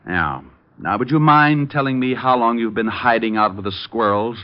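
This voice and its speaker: A man's voice: medium pitch, normal speed, clean tone, close to an everyday voice. An American man playing a sardonic, world-weary private detective in old-time radio drama, dry and flippant. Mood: exasperated, flippant. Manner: dry, flippant, sarcastic asides. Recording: muffled vintage radio recording.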